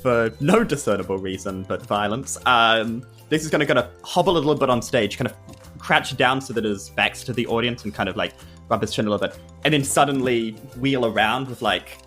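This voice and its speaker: A man's voice: mid-range voice, a little fast, fairly bright tone, faint music behind. A man talking casually and playfully as a player in a tabletop role-playing game.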